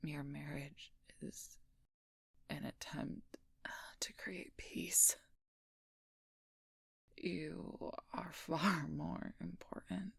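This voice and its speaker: A woman playing a wounded, dutiful werewolf knight in an intimate audio roleplay, halting and weary, in a breathy, husky, gravelly whisper. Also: deep voice, fairly even intonation, dry recording, clean studio sound, full character voice. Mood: earnest, wry. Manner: halting, weary, pained delivery. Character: wounded, dutiful female werewolf knight, wryly self-sacrificing protector.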